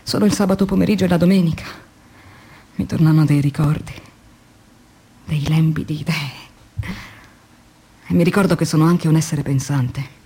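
A woman's voice: deep voice, fairly even intonation, normal speed, pauses often, dark tone, husky. An actress playing a weary, demoralized young woman factory worker in an introspective, theatrical radio drama monologue. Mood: disillusioned, weary. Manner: introspective, theatrical, shifting between murmurs and emphatic phrases.

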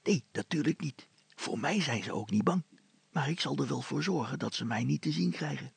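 A man voicing an eager, mischievous boy who speaks to his father in confident, hushed whispers. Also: medium pitch, lively intonation, normal speed, vocal fry, husky, no room sound, exaggerated voice.

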